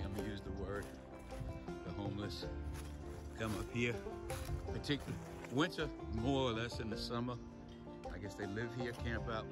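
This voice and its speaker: An older man in his sixties talking casually and in a laid-back way to viewers as a van life vlogger. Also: lowish voice. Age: older, around 60s. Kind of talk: casual rambling vlog talk to viewers. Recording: music playing behind the voice. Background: music.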